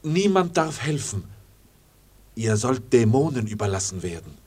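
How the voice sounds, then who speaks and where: Husky, halting, fearful male servant. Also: mid-range voice, very animated, a little mellow. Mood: fearful, resigned. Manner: halting broken German, deferential. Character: fearful, submissive male native servant left behind with explorers. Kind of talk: German radio play acting.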